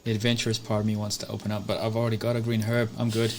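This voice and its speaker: A man's voice: fairly low pitch, monotone, very fast, soft background music. A man chatting casually as a player in a tabletop roleplay game.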